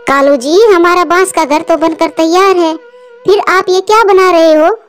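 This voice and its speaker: A woman voicing excitable, chatty female birds in a children's cartoon, with a dramatic manner. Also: very high voice, moderate pace. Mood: concerned. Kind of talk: Hindi children's cartoon dialogue. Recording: music behind the voice.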